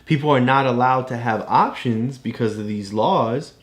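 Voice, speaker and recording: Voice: medium pitch, normal speed. An adult patiently explaining things to a child in a casual read-along lesson, often checking for understanding. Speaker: parent tutor explaining a book to a child. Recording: echoey room.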